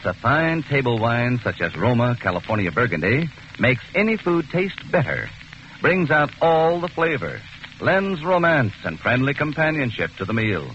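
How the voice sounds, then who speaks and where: A man reading a 1940s radio commercial as the announcer, smooth and emphatic. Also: mid-range voice, a bit bright, a little hiss or hum. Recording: muffled old radio broadcast.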